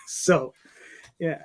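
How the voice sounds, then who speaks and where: A man's voice: extremely slow, low-quality recording. A man in his fifties talking casually as an interview guest about his creative work, in a rambling way. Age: 50s.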